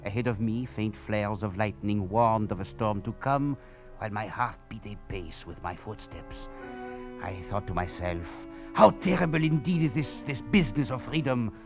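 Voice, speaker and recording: A man's voice: fairly low pitch, moderate pace, natural voice. An actor playing a wry, cunning male escaped prisoner who narrates his tale with chuckles and theatrical flair, in a 1940s radio drama. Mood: wry, chuckling. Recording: dull old radio recording, faint music.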